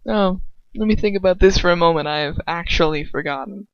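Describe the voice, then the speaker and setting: A woman's voice: fairly low pitch, a little fast. A woman reading fan fiction aloud as an amateur narrator, informal and often breaking off into casual asides. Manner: informal, self-interrupting. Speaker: amateur audiobook reader. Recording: roomy, muffled lo-fi home recording.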